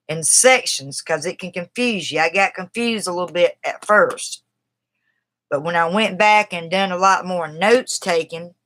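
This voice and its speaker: A woman with a Southern drawl talks loosely and off the cuff, her voice bright and clear. She rambles a bit and breaks off to greet viewers by name in an easygoing livestream chat. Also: fairly low pitch. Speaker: writer discussing a book on a livestream. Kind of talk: casual livestream talk. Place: outdoors.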